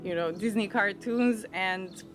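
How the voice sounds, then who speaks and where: A woman's voice: medium pitch, measured pace. Reflective Serbian woman over music. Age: 30s. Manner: reflective, thoughtful, with frequent restarts and soft laughs. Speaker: interviewee. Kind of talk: personal storytelling.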